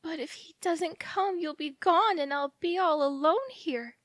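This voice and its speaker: A woman's voice: very high-pitched, measured pace, no room sound, clean studio sound. A woman acting in audio theatre as an anxious, lovelorn young woman who rambles and questions herself fretfully. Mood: dejected, pleading.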